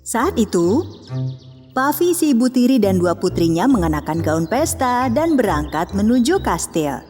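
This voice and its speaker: A woman narrating a children's cartoon fairy tale in a calm, measured storytelling voice. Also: fairly high pitch. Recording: long reverb with music behind.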